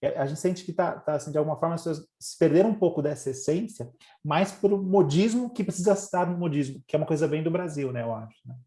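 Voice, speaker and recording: Quick, mellow-voiced man, slightly high, hosting a casual call; restarts often. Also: animated. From Brazil. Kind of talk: casual video call chat. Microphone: video call.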